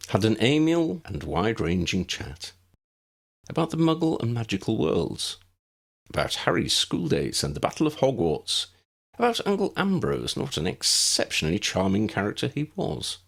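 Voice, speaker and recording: A man reading scripted storybook narration in an expressive, measured storytelling manner. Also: medium pitch, vocal fry. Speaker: audiobook narrator.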